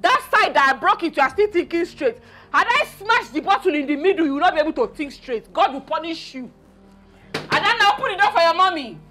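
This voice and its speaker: A woman's voice: very high-pitched, lively intonation, brisk, sharp tone, soft background music, full character voice. A woman with a Nigerian accent playing a jealous, combative scorned wife in a film drama, repeating her demands. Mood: enraged.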